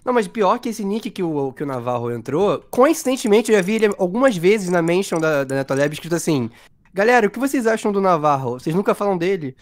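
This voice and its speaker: A man's voice: high voice, very animated, fast. A man who has a cold, trading jokes and playful trash talk during a game call. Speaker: regular player. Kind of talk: casual gaming banter.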